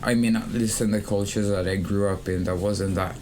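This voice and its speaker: Young man from Kenya, low voice, student podcast host, measured and hesitant, faint background hum. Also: steady tone, darkish tone. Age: young adult. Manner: thoughtful, hesitant, frequent fillers and backchannel. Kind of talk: casual conversation.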